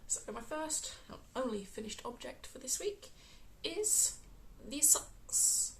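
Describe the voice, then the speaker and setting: A woman with a West Midlands accent, hosting a casual crafting podcast, croaky from a cold and filling pauses with ums. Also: high-pitched, big pitch swings, a bit bright, slight background noise. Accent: West Midlands English. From England. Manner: chatty, many ums, unhurried.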